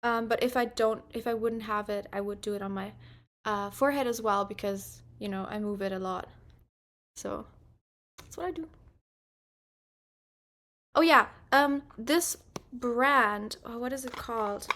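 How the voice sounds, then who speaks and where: Chatty young woman, a bit high. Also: measured pace, pauses often, fairly bright tone. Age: 20s. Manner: rambling, many fillers, chatty. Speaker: livestreamer. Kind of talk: casual chat while doing makeup.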